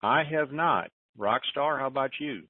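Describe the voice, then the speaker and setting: A man's voice: medium pitch, normal speed, husky, no room sound. A plain-spoken, folksy man hosting an informal conference call over the telephone. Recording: narrowband tinny phone line.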